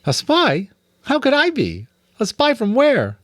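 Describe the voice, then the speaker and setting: A man voicing a polite, earnest adult female creature in an audiobook, puzzled and gently reassuring. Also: very high-pitched, huge pitch swings, a little slow, no echo, studio quality, pitched above the performer's own voice, heavily acted. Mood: slightly annoyed.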